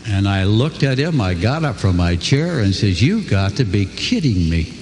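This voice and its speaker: A man's voice: on the low side, very animated, normal speed, darkish tone. Older man, folksy, earnest, motivational speech, swooping emphasis, reverberant hall, faint background hiss. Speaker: company co-founder speaking to a crowd. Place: large hall before an audience. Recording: echoey hall, faint ambience.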